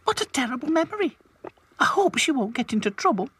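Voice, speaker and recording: A man's voice: very high-pitched, very animated, fairly quick, pushed and bright, slight background noise, pitched above the performer's own voice, full character voice. A man voicing a timid, easily frightened female rag doll who shrieks.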